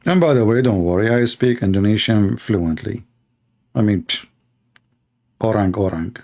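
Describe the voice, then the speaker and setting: A man's voice: fairly low pitch, normal speed, darkish tone. A man hosting a livestream debate, talking in a sarcastic, mocking, rambling way with lots of fillers.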